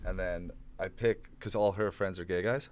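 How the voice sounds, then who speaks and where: A man's voice: sits low, normal speed, smooth. A man in his thirties, a comedian guest, telling rambling stories in casual chat and swearing freely. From Canada. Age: 30s. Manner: rambling, animated, swears often. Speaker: stand-up comedian, podcast guest.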